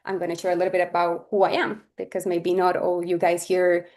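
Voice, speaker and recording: A woman's voice: lowish voice, completely flat delivery, fairly quick, darkish tone. A woman in her thirties from Colombia, presenting on a webinar over a video call in an earnest, loose way, with fillers and restarts. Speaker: webinar speaker. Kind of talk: presenting on a webinar, casual and personal.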